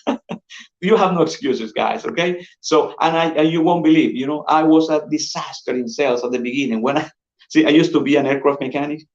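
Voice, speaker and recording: Colombian-accented guy hyping up a sales pitch, keeps saying guys, echoey and muffled. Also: a little fast. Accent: Colombian Spanish. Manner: energetic, persuasive, repeats "guys". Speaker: insurance sales manager presenting. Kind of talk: upbeat sales pitch on a call. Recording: dull roomy lo-fi audio.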